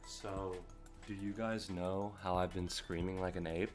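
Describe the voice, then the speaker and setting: A young man streaming a game from home, his voice hoarse and gravelly from screaming, rambling and swearing as he plays. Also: low-pitched, level tone, measured pace, soft background music. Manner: rambling, swears when frustrated. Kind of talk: live gameplay stream chat. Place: home room.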